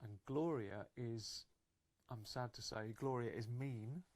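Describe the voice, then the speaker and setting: A woman's voice: a bass voice, slow pace, a little mellow. A woman telling a fairytale to children as a live storyteller, agreeable and improvising as she goes.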